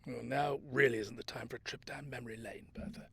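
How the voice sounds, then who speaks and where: A man playing an anxious but determined grandfather on the run in an audio drama, urgent and often dropping into hushed whispers. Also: mid-range voice, steady tone, a little fast, creaky, husky. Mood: hushed, tense. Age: older.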